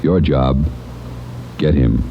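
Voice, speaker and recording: A man's voice: rumbling low, fairly even intonation, slow pace. A man delivering a grave, terse, dramatic prologue as a vintage radio announcer. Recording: muffled vintage radio recording. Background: loud dramatic music.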